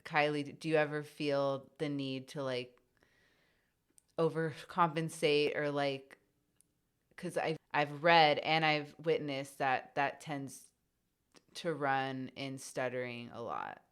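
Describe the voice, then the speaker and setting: Deep-voiced woman hosting a chat podcast, stutters a bit, lots of "like". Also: fairly even intonation, slow, smooth. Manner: stutters, with many filler "like"s. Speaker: podcast host. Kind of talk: casual podcast conversation.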